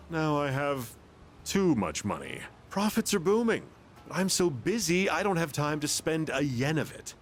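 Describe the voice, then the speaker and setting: A man's voice: a little high, a little hiss or hum. A man playing a smug, boastful middle-aged businessman with an oily manner in a video game. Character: smug, greedy middle-aged businessman flaunting his wealth. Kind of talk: theatrical video game monologue.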